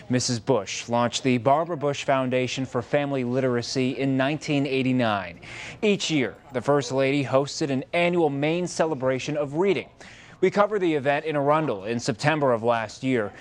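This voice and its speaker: An American man reading scripted news as a TV anchor. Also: mid-range voice.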